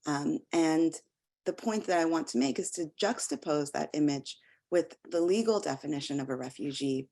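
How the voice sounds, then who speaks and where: An American woman, an academic researcher, giving an explanatory slide lecture in a halting way with frequent pauses and ums. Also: sits low, vocal fry, warm tone. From United States.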